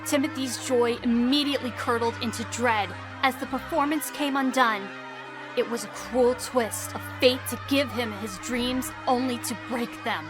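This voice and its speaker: A woman reading scripted storybook narration for an audio drama in an expressive, dramatic storytelling manner. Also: fairly high pitch, normal speed. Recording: music behind the voice.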